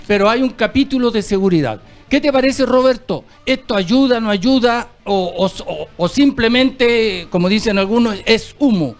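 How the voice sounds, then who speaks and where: Hombre chileno presentando un panel, tono alto, conversacional. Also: male voice, high-pitched. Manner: conversational, slightly hesitant. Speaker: host. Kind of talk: moderating a political panel.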